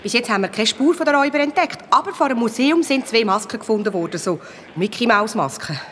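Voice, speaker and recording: A woman's voice: fairly high pitch, expressive, quick pace, a little hiss or hum, natural voice. A woman playing an uneasy, evasive museum director in a radio play, colloquial and apologetic. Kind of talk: children's radio play acting.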